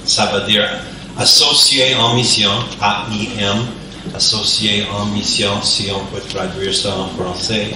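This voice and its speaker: Hesitant pastor in an echoey church. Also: male voice, on the low side, steady tone, a little slow, background noise. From Canada, New Brunswick. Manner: hesitant, searching for words. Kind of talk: church service introduction. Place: church sanctuary. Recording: echoey church hall from far off.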